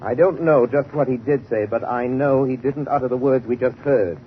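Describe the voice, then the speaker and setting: An actor playing a calm, shrewd gentleman crime-fighter in a 1930s radio drama, speaking in a measured, deliberate and articulate way. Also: mid-range voice, close to an everyday voice. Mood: calm, certain. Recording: noisy, muffled 1930s radio recording.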